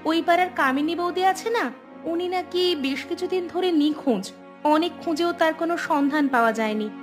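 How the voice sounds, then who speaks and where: A woman's voice: very high voice, normal speed. A woman voicing a concerned village wife in a cartoon drama, questioning and insistent. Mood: concerned, probing. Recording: music clearly behind the voice.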